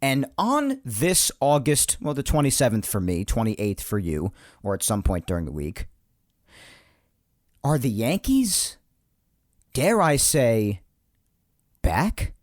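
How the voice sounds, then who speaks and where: A man hosting his own sports podcast, chatty and rambling, his voice slightly hoarse from yelling earlier. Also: medium pitch, takes it slowly, long pauses, no echo, studio quality. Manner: animated, chatty, rambling asides. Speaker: podcast host. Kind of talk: solo sports podcast monologue.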